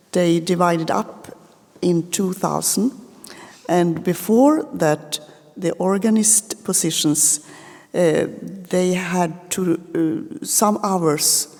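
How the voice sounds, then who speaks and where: A reverberant hall carries the gravelly, creaky voice of a woman with a Swedish accent. She talks as a teacher on a panel, explaining informally. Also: lowish voice, darkish tone. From Sweden. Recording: echoey hall, long reverb.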